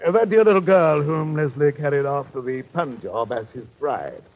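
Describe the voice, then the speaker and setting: An actor playing a genteel, roguish British captain, pompous and long-winded, in an old radio detective drama. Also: male voice, fairly high pitch, normal speed, close to an everyday voice. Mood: pompous storytelling. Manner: pompous, blustering, flowery phrasing. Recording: muffled old radio recording, faint noise.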